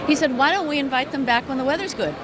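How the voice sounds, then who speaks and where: An older woman promoting regional tourism, speaking with upbeat enthusiasm in a loud voice during an interview at a business expo. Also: sits high, fast, background noise. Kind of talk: casual interview. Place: busy indoor business expo.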